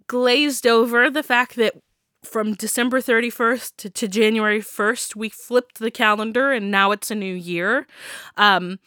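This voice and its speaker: A woman's voice: a little high, a bit bright, no echo, studio quality. A young woman hosting her own podcast, stuffy from a sinus infection, chatting casually with frequent laughs and ums. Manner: chatty, giggly, many ums. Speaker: podcast host. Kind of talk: casual solo podcast chat.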